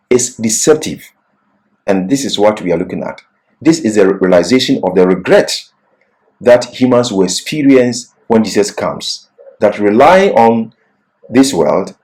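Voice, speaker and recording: A man delivering a scripted Bible lesson in an earnest, deliberate, emphatic manner. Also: medium pitch, a little mellow, poor audio. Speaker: Bible teacher. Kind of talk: religious lesson, scripted exposition.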